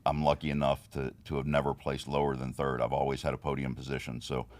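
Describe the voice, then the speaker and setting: Man, 50s, extremely deep, raspy, frank podcast guest, steady storytelling. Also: quick pace, dry recording. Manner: frank, steady storytelling with many "you know"s. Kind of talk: casual interview talk.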